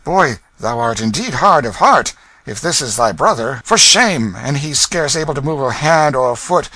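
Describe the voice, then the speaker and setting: A fussy, soft-hearted old gentleman, put on by a male narrator squeaks and flutters well above the reader's usual voice. His exclamations come bright and dry, as if read right into the mic for a storybook. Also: expressive, slow, gravelly, no room sound, exaggerated voice. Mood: shocked reproach.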